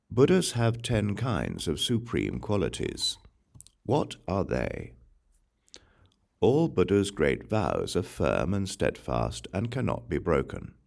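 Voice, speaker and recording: Male audiobook narrator, extremely low and creaky, solemn measured delivery with expressive rises and falls. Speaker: audiobook reader. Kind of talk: scripted scripture narration.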